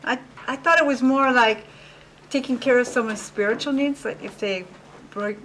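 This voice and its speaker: A woman playing a high-voiced, questioning teacher. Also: normal speed, slight background noise, close to an everyday voice. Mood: doubtful. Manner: questioning, gently challenging. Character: woman teacher leading a class discussion. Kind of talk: amateur student acting. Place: classroom. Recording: roomy classroom sound from far off.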